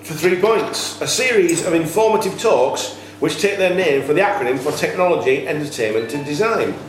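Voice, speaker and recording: Male quizmaster, fairly high and animated, reading clues deliberately, faint background noise. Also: heavy reverb, low-quality recording. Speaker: quiz host, question setter. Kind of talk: reading quiz questions aloud. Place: echoey indoor room at a cricket club.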